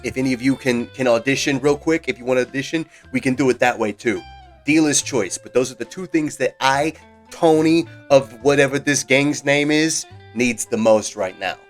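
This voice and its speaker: A man's voice: medium pitch, moderate pace, natural voice. Guy doing a swaggering gang boss, middle-aged and bossy, cutting deals, music faint in the back. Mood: bargaining. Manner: swaggering, bossy, wheeler-dealer talk. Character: brash middle-aged male mob-style gang boss. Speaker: game master.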